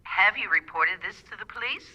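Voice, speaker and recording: An actress playing a brisk, polite telephone operator in a radio play, formal and businesslike. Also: female voice, medium pitch, animated, bright, forceful tone, muffled recording. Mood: polite, officious.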